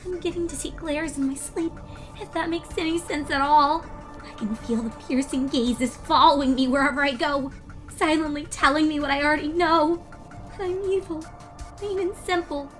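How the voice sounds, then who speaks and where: Paranoid young female pony, very high-pitched, sharp bright tone, anguished, volatile, fan-fiction confession reading, soft music behind. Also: fairly even intonation, moderate pace. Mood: haunted, despairing. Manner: anguished, highly emotional, swinging from hushed to screaming. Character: guilt-ridden, paranoid young female pony student unraveling into madness.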